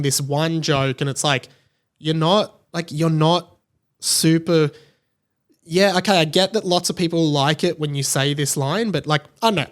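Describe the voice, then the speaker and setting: A man hosting a casual solo podcast, talking in a rambling, conversational way and often checking in with "right?". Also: fairly high pitch. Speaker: podcast host.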